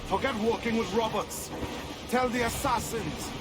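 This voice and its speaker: An actor playing a wary, principled, loyal pirate quartermaster in a video game, measured and earnest, a man. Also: very high-pitched, noisy background. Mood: urgent, earnest. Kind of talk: video game cutscene acting. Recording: very loud music under voice.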